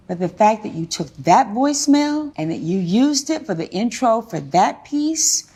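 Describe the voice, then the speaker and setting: A woman with a fairly high voice presides as a courtroom judge. She questions and lectures firmly, leaning on key words as she hands down her view. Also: a little mellow. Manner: stern, lecturing, emphatic.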